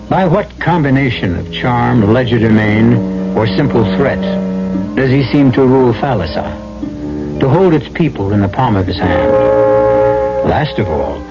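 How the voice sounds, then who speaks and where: A man hosting a radio drama with formal, grave, rhetorical scripted narration. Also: mid-range voice. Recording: dull old radio recording. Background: loud music.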